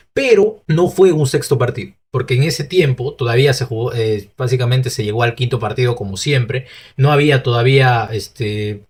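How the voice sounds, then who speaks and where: Peruvian Spanish man, mid-pitched, chatty solo pundit who restarts lines and asks himself questions. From Peru. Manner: talkative, restarts often, rhetorical asides. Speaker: football opinion host. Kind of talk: solo opinion commentary.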